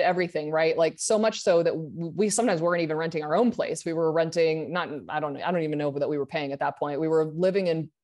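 A woman's voice: on the low side, darkish tone. A woman who grew up in Argentina, a guest speaking candidly in a casual interview. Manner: candid, quick, many restarts.

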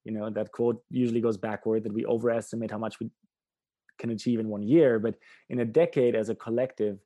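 A man's voice: fairly low pitch, steady tone, fairly quick, dark tone, no room sound. A man hosting a conversational interview, reflective and feeling his way through his thoughts.

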